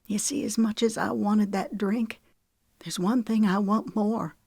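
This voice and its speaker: A frightened young woman, tearful and hushed, her words trembling, as a woman reading an audiobook puts her on. The voice sits a touch higher than the narration, close and dry. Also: moderate pace, vocal fry, slightly rough, no room sound. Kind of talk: audiobook character voice.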